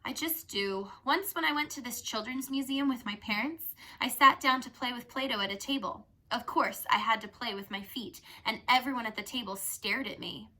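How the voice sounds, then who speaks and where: A woman's voice: fairly high pitch, moderate pace, hardly any pauses, sharp tone. A woman voicing a frank, curious, chatty young teen girl in a children's storybook. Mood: confiding. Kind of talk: storybook character reading.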